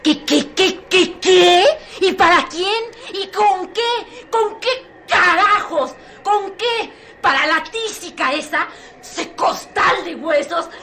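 A frantic woman in a radio drama, torn by jealousy. Her voice is extremely high and shrieking, repeating itself breathlessly over clear background noise. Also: slow. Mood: furious, jealous. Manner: breathless repetition, shrieking outbursts. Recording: noisy radio drama with sound effects.

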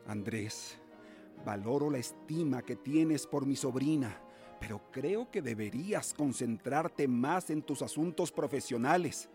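Actor as stern guardian uncle, mellow voice. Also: male voice, mid-range voice, expressive, soft background music, lightly acted. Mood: cautioning. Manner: measured, formal, paternal. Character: stern, cautious guardian uncle of colonial Mexico. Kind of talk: radio drama acting.

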